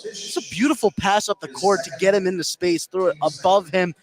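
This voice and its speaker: A man's voice: high voice, fairly quick. A man giving casual, slangy live analysis as a basketball color commentator. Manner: informal, slangy. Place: indoor school basketball gym. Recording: crowded gym behind the voice. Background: crowd noise in gym.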